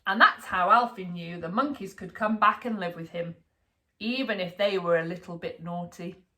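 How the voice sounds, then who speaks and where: A woman reading a children's picture book aloud in an expressive, deliberate storytelling manner for young listeners. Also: lowish voice. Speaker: storybook reader, school staff.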